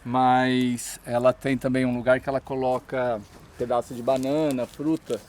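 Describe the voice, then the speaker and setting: A man's voice: medium pitch, little pitch movement, moderate pace, darkish tone. A man visiting a garden, chatting casually outdoors and asking questions. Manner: conversational, asks questions. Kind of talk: casual chat.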